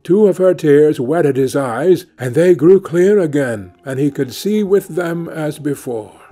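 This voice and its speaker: A man narrating a scripted fairy tale as an audiobook reader, in a measured storytelling manner. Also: a little high, lively intonation, mellow. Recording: music playing behind the voice. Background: music.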